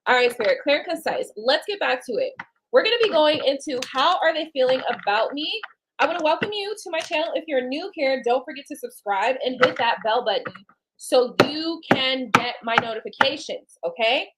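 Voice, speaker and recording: A woman's voice: high-pitched, lively intonation, a little fast, heavy background noise. A woman reading tarot cards on a casual live stream, rambling and chatting to her viewers and to spirit. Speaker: tarot card reader.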